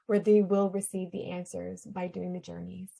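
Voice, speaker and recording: Flat-voiced woman explaining her healing work. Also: fairly low pitch, moderate pace, gravelly. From Canada. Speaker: interview guest, shaman and energy healer. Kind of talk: conversational podcast interview.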